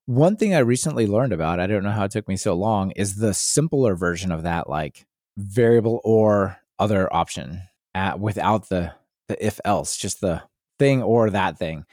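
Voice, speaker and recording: A man's voice: lowish voice, lively intonation, normal speed, a little mellow, no room sound, clean studio sound. A man hosting a podcast, talking casually about tech. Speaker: podcast host.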